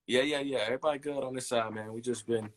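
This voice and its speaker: A man in his thirties, a brand designer, chatting in a relaxed interview and rambling easily with lots of "you know what I mean". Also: medium pitch, fast talker. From United States. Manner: easygoing, rambling, leans on "you know what I'm saying".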